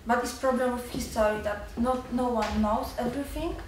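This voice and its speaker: A young woman with a Polish accent, a student giving nervous, halting remarks at a seminar with many restarts. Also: fairly high pitch, steady tone, very echoey, distant mic, slight background noise, rough recording. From Poland. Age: young adult. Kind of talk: nervous public remarks in a second language.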